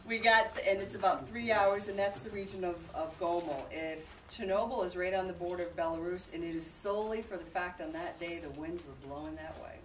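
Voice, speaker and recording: Female presenter, low voice, conversational explainer giving an informal group talk; noisy, echoing room recording. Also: brisk, few pauses, a bit bright. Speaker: presenter reporting on a charity trip. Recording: distant mic in noisy, echoey room.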